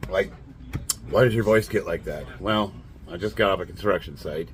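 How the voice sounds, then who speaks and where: A man in his late forties with a raspy, nasal, stuffed-up voice, rambling bluntly and laughing often as he talks to camera. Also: sits low, measured pace, a little hiss or hum. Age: 40s. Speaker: fitness vlogger. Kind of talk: casual monologue to camera.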